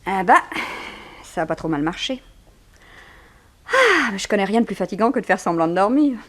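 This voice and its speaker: Sly female sidekick, medium pitch, playful, conspiratorial, 1960s French radio drama. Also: very animated, moderate pace, a bit bright, a little hiss or hum. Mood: pleased, relaxed. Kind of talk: 1960s French radio detective serial acting.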